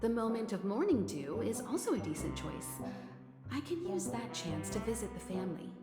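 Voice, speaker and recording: A woman acting a composed, mature traveller in a video game, speaking in a measured, articulate and thoughtful way with courteous watchfulness. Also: a little high, sing-song. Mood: calm, courteous. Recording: roomy reverberant voice under loud music.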